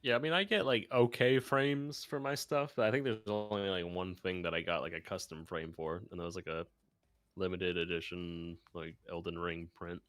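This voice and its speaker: A man's voice: sits low, steady tone, normal speed, fairly bright tone. A man chatting casually on a livestream.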